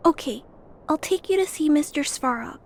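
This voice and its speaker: Young, timid but brave girl for a game: high, unhurried, polite and earnest. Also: full character voice. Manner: hesitant, soft-spoken, polite. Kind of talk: video game voice acting.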